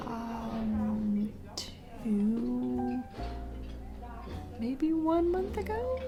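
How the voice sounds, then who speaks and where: A woman playing a flustered single mom on a blind date in a romantic audio roleplay, speaking haltingly with nervous giggles. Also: fairly high pitch. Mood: curious, self-conscious. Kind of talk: romantic audio roleplay, intimate conversational acting. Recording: music playing behind the voice. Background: music, restaurant ambiance.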